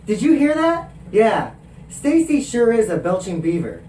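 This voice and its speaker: A man's voice: high voice, huge pitch swings, a little slow, warm tone, slight background noise. A man with a nasal voice co-hosting a podcast, joking in casual crude banter. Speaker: podcast co-host. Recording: echoey room, mic at a distance.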